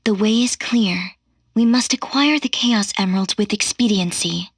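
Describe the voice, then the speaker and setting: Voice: mid-range voice, moderate pace, husky, no echo, clean studio sound. An actor playing a formal, detached young artificial-intelligence girl in a video game, speaking in stiff, precise wording. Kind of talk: scripted video game dialogue.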